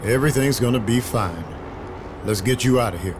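A man's voice: mid-range voice, fairly quick, background noise, natural voice. Man playing a world-weary noir vampire detective. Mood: exasperated, protective. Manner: dry, terse, brooding. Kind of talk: first-person noir narration, audio drama acting. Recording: loud music behind the voice.

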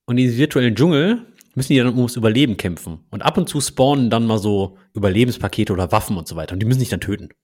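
A man with a mid-range voice co-hosts a casual tech podcast. He talks in a jokey, teasing manner and tells things like animated little stories. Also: mid-range voice, racing, few pauses. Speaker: podcast co-host, engineering manager.

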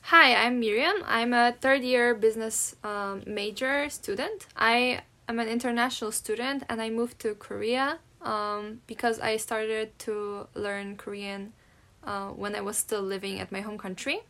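A woman's voice: a little high, fairly even intonation, unhurried, pushed and bright. Woman in her twenties. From Poland. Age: 20s. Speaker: business student. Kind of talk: casual self-introduction.

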